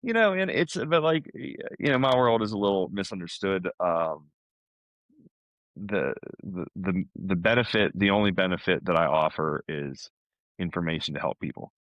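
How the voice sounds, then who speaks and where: Low-voiced man chatting casually. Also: animated, normal speed, a bit bright, dry recording, muffled recording. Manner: chatty, informal, peppers talk with "man" and "you know". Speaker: podcast guest. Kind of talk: casual conversational podcast talk.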